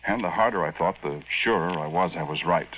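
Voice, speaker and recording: An actor playing an earnest, flustered young army sergeant in a stammering, deferential manner, in 1950s radio drama style. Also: male voice, deep voice, fairly quick, husky. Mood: subdued narrating. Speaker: staff sergeant. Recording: dull, noisy 1950s radio recording.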